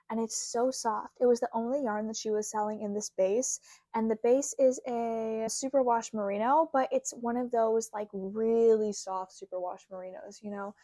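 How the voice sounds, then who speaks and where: A woman's voice: fairly high pitch, mellow, no room sound. A young woman vlogging about her craft in a casual, bubbly, rambling way, her voice a little worn from a recent cold. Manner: bubbly and rambling, heavy use of "like". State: getting over a cold, recovering from a sore throat. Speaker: crochet content creator. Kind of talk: casual chatty vlog. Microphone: small clip-on mic.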